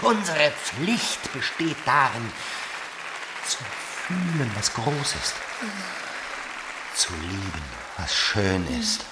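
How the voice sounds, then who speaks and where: An actor playing a passionate male seducer in a radio drama, scornful of convention, speaking in halting, theatrical phrases. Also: mid-range voice, very animated. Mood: ardent, persuasive. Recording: busy crowd noise behind the voice.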